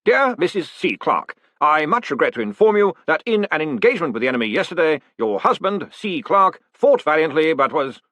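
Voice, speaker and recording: A man's voice: on the high side, very animated, a little fast, few pauses, a bit bright, no echo, pitched above the performer's own voice. A man voicing a talking letter as a formal, grave army officer. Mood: solemn. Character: solemn male officer's voice of a death letter.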